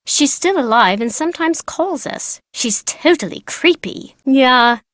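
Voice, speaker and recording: A woman's voice: sits high, animated, measured pace, fairly bright tone, dry recording, clean studio sound. A woman voicing a bratty, know-it-all young girl from an old English family in a video game. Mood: chatty, matter-of-fact. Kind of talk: video game character dialogue.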